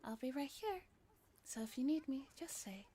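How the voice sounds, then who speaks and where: Woman doing a robot girl from a visual novel, high voice, super earnest n polite, eager to help. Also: animated, moderate pace, dark tone, a little hiss or hum. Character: earnest, eager young female android girl companion.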